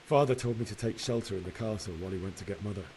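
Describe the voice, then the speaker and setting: A low-voiced young man, played by a male game actor, a blacksmith's son telling of slaughter he survived. He speaks with earnest sorrow, like a cutscene confession. Clear noise runs behind his voice. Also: racing, darkish tone, lightly acted, low-quality recording. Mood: grim, grieving. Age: young adult. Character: grieving young blacksmith's son recounting a massacre. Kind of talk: dramatic game cutscene acting.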